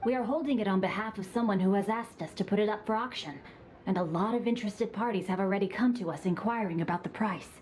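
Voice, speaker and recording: A woman's voice: medium pitch, fast, darkish tone, a little hiss or hum, close to an everyday voice. A woman voicing a refined, witty young nobleman in a game, speaking in a courteous, formal, composed manner. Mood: calm. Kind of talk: game dialogue.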